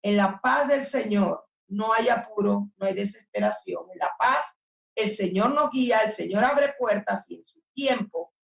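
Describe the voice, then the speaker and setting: A woman's voice: mid-range voice, measured pace, gravelly, far from the mic. A woman preaching earnestly in a church service, speaking alongside the pastor and echoing his words. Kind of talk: sermon.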